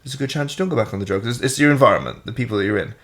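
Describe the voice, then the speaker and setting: A man's voice: medium pitch, racing, a bit bright. A man, chatting casually as host, rambling with lots of fillers and the odd swear word. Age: 20s. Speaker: fitness coach and host. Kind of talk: casual chat.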